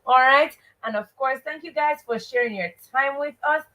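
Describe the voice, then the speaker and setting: A woman with a Jamaican accent hosting an interactive read-aloud lesson, expressive and emphatic, putting questions to her listeners. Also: high voice, normal speed, rough recording. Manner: expressive, emphatic, repeats rhetorical questions and stock phrases. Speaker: teacher and host of a live read-aloud show.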